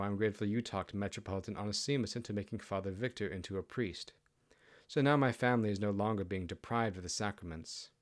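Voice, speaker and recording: A man's voice: on the low side, close to an everyday voice. A man voicing a humble, grateful kitsune family man in an audiobook, plainspoken and earnest. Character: humble, grateful kitsune peasant husband and father.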